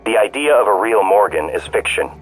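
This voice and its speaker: An actor playing a polite but unyielding artificial intelligence in a video game, formal, precise and composed. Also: male voice, lowish voice, expressive, brisk, faint music behind, natural voice, low-quality recording. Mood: firm composure. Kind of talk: scripted video game acting.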